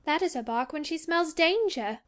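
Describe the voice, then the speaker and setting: Woman as a high-voiced, earnest young girl. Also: animated, normal speed. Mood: knowing. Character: earnest, sensible young girl, eldest child. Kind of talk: dramatized storybook reading.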